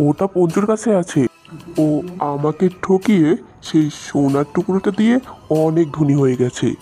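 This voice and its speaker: A man's voice: on the high side, normal speed, mellow, natural voice. A man voicing a humble, honest village woodcutter in a cartoon moral story, speaking earnestly and respectfully. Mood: humble, pleading. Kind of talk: animated moral story dialogue. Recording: voice over light background music.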